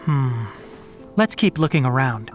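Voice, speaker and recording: A man playing a composed, slightly dry young scholar in an anime game, explaining things in a measured, guarded way. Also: medium pitch, lively intonation, music in the background, close to an everyday voice. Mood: pensive.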